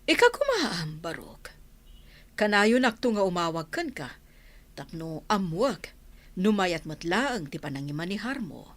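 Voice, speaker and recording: A woman's voice: on the low side, big pitch swings, a little slow, heavily acted. An actress playing a mature godmother in an Ilocano radio drama, caring, motherly and admonishing. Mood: reassuring.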